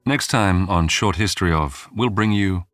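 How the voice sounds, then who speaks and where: A man hosting a history programme, reading scripted documentary narration in a measured, polished storytelling way. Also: low-pitched, a bit bright. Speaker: podcast narrator and host.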